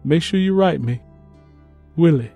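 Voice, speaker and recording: A man's voice: a little high, animated, measured pace, creaky, warm tone, husky, no echo, faint music behind, exaggerated voice. A man playing an earnest young Mississippi field hand turned soldier, reading out letters home with plain rural phrasing in an audiobook. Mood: earnest, reflective. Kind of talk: first-person letter read in audiobook.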